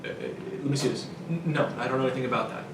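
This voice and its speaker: A man's voice: mid-range voice, racing. A man co-hosting a business show, giving casual sales advice in an anecdotal way and acting out quoted lines. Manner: anecdotal, acts out imagined callers and reactions. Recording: echoey room, distant mic, faint noise.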